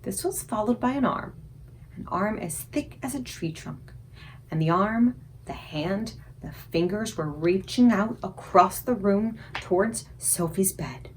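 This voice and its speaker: A woman reading a children's story aloud expressively, with dramatic, suspenseful emphasis and deliberate pauses. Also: medium pitch, lively intonation, slight background noise, poor audio. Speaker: librarian reading aloud for a book club.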